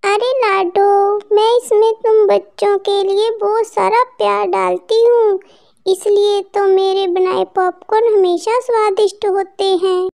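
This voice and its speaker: Cartoon auntie bird, female performer: extremely high, unhurried, sweet-natured, earnest. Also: level tone, vocal fry, darkish tone, exaggerated voice. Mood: cheerful, affectionate. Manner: affectionate, earnest. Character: sweet, kindly popcorn-selling auntie bird. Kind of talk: children's cartoon story acting.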